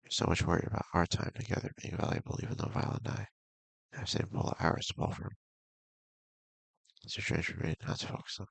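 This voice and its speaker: A man voicing a clingy, possessive young woman in a horror visual novel, halting and hushed, with music behind. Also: sits low, fairly even intonation, creaky, breathy edge, dry recording, exaggerated voice. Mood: brooding, probing. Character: clingy, brooding young female best friend, intense and possessive.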